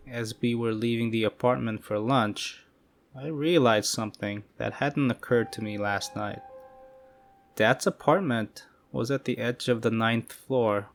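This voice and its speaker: A man giving calm, measured, scripted narration of creepy first-person stories. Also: medium pitch. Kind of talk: scripted horror story narration. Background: faint eerie music.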